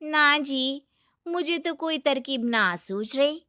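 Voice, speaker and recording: A woman voicing simple village folk in a cartoon story, with an exclamatory, drawn-out delivery. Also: high voice, animated, sharp tone, no echo, clean studio sound, pitched above the performer's own voice, heavily acted. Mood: curious, agreeable. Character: village folk, moneylender's wife and plaintive customers.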